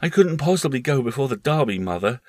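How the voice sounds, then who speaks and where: A man doing an anxious, high-voiced young boy. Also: big pitch swings, fast talker, a little mellow, no room sound, studio quality. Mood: feverish. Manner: pleading, insistent, feverish.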